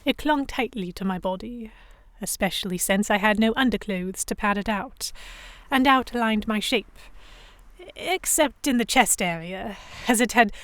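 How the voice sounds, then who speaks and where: A woman's voice: mid-range voice, measured pace, no room sound, lightly acted. Woman acting a prim young noblewoman, dry close sound. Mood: embarrassed, prim. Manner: formal, genteel, expressive. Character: prim, anxious young Victorian noblewoman, captive yet defiant. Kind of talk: first-person dramatic narration, historical romance audio drama.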